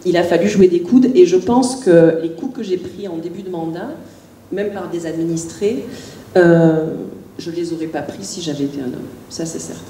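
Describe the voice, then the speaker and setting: A woman's voice: fairly low pitch, moderate pace, mellow, roomy sound, recorded from a distance, a little hiss or hum. A middle-aged woman, a village mayor, answering questions on a panel, hesitant with many restarts and fillers.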